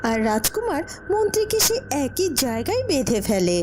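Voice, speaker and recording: A woman's voice: sits high, big pitch swings, moderate pace, music in the background. A woman giving scripted narration for a cartoon story.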